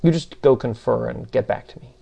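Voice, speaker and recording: A man voicing a defiant, quick-witted female digital philosopher in an audiobook, arguing insistently. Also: mid-range voice, gravelly, warm tone, slightly rough. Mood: determined. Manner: insistent, argumentative.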